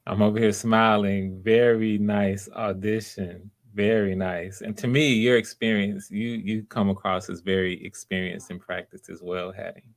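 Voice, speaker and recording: A man's voice: on the low side, fairly even intonation, normal speed, few pauses. A man hosting auditions in a casual, encouraging way, often repeating his words. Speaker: audition host.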